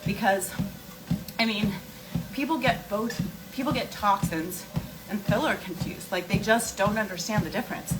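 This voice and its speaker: A woman's voice: medium pitch, moderate pace, over loud music, low-quality recording. A woman hosting a casual skincare livestream, answering viewer questions and explaining emphatically, repeating key points with rhetorical questions. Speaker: skincare and wellness livestream host.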